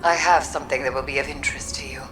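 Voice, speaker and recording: An actress playing a solemn, haunted mystic in a video game, speaking in a grave, formal and measured way. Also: female voice, rumbling low, steady tone, music in the background, rough recording. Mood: grave, reflective. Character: solemn, haunted mystic woman, weary and cryptic. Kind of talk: video game cutscene monologue. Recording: clear background ambient noise.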